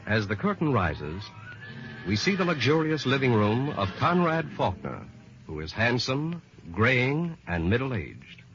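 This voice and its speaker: A bright, animated male announcer delivers scripted narration in a grand old-time radio manner. His delivery is dramatic and deliberate, on a dull vintage recording with faint music. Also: mid-range voice.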